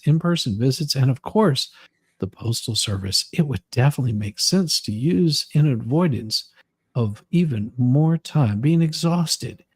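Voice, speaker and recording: A man hosts with a sardonic edge, moving between casual banter and reading letters aloud. His pitch sits in the middle and the sound is close and dry, with little room on it. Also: a little mellow, breathy edge, no echo. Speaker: host.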